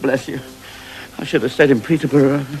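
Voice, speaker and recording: A man's voice: mid-range voice, steady tone, brisk, over loud music, close to an everyday voice, low-quality recording. A man playing a fretful, henpecked middle-aged father with doleful, theatrical pantomime delivery. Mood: worried, regretful. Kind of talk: pantomime stage acting.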